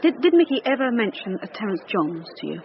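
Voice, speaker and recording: An actress playing a wry, probing police detective with an English accent in a naturalistic radio drama. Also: female voice, medium pitch, very animated, fast. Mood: probing, businesslike. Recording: dull radio recording with hiss.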